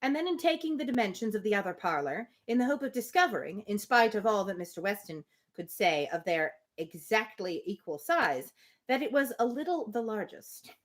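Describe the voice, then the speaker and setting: A woman reads a classic novel aloud as a livestream host. Her pitch moves in an animated way, and she slips easily between the written prose and playful, conversational asides. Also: brisk. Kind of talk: live read-aloud with casual asides and commentary.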